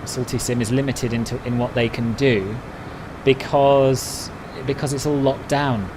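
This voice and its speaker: A man's voice: medium pitch, fairly even intonation, unhurried, background noise. A man chatting casually on a live stream, answering viewers' messages one by one. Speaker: flight sim streamer.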